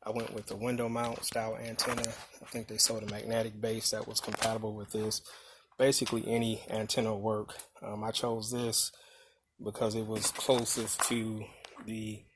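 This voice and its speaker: A man with a fairly low voice gives a casual, unscripted gear walkthrough. His delivery stays very flat and level as he explains things informally. Clear background noise runs behind him on the handheld video recording. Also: normal speed. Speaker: motorcycle vlogger showing his gear.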